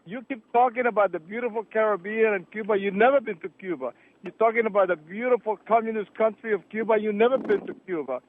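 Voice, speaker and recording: Brief: argumentative male caller, high voice, phone-line sound, keeps cutting in. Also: fast. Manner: heated, argumentative, interrupting.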